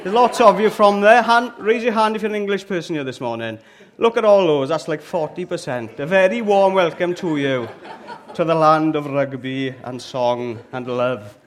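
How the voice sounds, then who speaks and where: A Welsh man in middle age, talking to a church crowd in a relaxed, joking way. His voice sits a little higher than most men's, and he keeps checking in with the room as he goes. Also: moderate pace. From Wales. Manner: chatty and humorous, often checks in with "okay?" and "all right?". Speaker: church speaker. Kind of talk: lively, joking sermon to a live congregation. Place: church hall with an audience.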